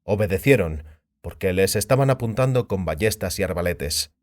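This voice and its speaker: A man's voice: low-pitched, expressive, moderate pace, a little mellow. A man narrating a fantasy audiobook in a scripted, dramatic storytelling style.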